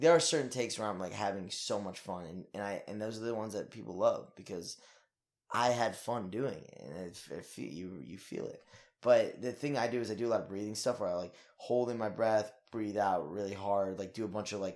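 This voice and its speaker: A young actor in a casual interview. His voice sits a bit low. He rambles with energy, full of fillers and the odd curse. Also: a little mellow.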